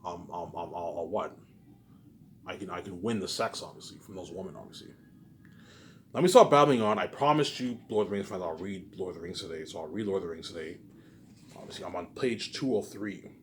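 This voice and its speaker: A man talking in a casual, rambling monologue, halting and repeating himself, often swearing and tagging statements with "obviously". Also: low voice. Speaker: solo video host. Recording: noticeable background noise.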